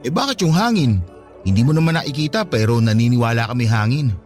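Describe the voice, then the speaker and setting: Lively, easygoing Filipino young man, played by an actor. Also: mid-range voice, fast talker, close to an everyday voice. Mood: gently challenging. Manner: easygoing, gently persuasive. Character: patient, good-natured young churchgoing man, gently teasing. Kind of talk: conversational radio drama acting. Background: music bed.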